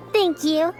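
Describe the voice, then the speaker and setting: A woman's voice: very high-pitched, heavily acted. A woman voicing a cheerful, caring little girl in a video game, sweet and earnest with a childlike voice. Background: music.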